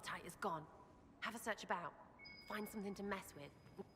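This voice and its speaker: A woman's voice: medium pitch, normal speed, lightly acted. A woman playing a mischievous, irreverent young female rogue in a video game, with cheeky, slangy, informal delivery. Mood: mischievous, conspiratorial. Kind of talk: video game character dialogue. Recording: long reverb, faint background noise.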